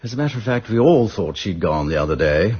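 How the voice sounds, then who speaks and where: An urbane English solicitor in a period radio play. The voice is low and husky with an educated English accent, measured and obliging but guarded. The sound is a little dull and muffled. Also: male voice, sing-song. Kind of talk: radio drama acting.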